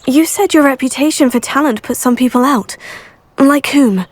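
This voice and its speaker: A woman's voice: sits high, moderate pace, dry recording. A woman playing a polite, confident teenage student, the heroine of a video game. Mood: wry, confident. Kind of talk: video game character dialogue. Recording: game music behind. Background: game music.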